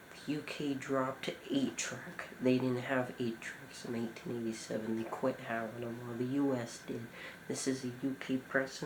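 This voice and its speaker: A man giving an informal show-and-tell commentary as a collector, talking in short, halting phrases. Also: medium pitch. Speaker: cassette collector presenting a collection. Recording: echoey room with clear background noise.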